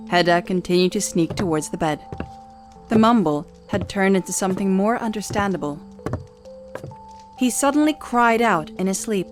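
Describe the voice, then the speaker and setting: Female narrator for a fantasy saga, lowish voice, scripted dramatic storytelling over soft music.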